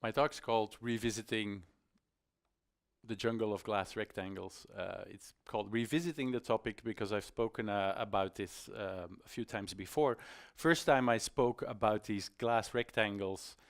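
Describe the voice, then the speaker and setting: A middle-aged man with a fairly low voice speaks as a conference presenter. His delivery is informal and conversational, with a self-deprecating manner and hesitant phrasing. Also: moderate pace. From Belgium. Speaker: conference speaker, iOS developer. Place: conference stage in a hall.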